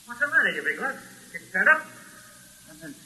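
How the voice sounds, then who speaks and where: An actor playing a brash, jeering gangster thug in a 1940s radio crime drama. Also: male voice, very high voice, sing-song, fast, sharp tone, slightly rough, full character voice. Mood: alarmed, bewildered. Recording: noisy vintage 1940s radio broadcast.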